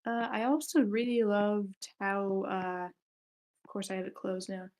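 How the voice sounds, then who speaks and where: A woman's voice: medium pitch, level tone, a little slow, a little mellow. Casual woman leading a group discussion. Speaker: host of a screenplay table read discussion. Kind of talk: casual chat.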